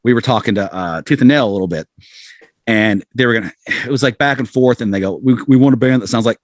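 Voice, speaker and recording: A man's voice: medium pitch, fast, no room sound. A middle-aged man chatting casually as a podcast guest, telling anecdotes in a self-deprecating way and acting out quotes. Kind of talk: casual anecdotal interview chat.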